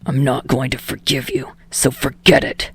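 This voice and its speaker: A hostile young man played by a woman narrator growls low and breathy in clipped, venomous lines. He is foul-mouthed and snarling, a character from an audiobook heard in a dry space. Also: little pitch movement, moderate pace, dry recording, full character voice.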